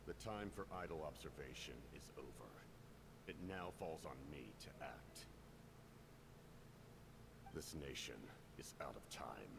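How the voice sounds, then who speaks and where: Very deep, gravelly military captain. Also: male voice, lively intonation, full character voice. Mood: grave resolve. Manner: measured, terse, authoritative. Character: commanding, stoic, imposing military captain, deep and controlled. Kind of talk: video game voice acting. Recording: game audio with clear background noise.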